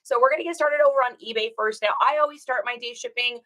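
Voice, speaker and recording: A woman with a slightly hoarse, rough voice, hosting a casual livestream and chatting upbeat with viewers, greeting them by name. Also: a little high, fast talker, a bit bright. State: hoarse from singing. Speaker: reseller livestream host.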